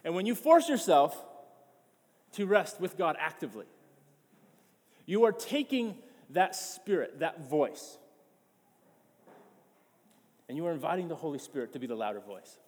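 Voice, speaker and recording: A man's voice: on the high side, sing-song, moderate pace, a little mellow, husky, big-room sound. A man preaching a sermon as pastor, passionate and emphatic, often repeating words as he builds his point.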